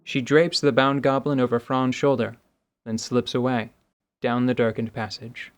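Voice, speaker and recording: A man's voice: mid-range voice, level tone, normal speed. Man, scripted narration, tabletop fantasy audio drama, faint noise behind the voice.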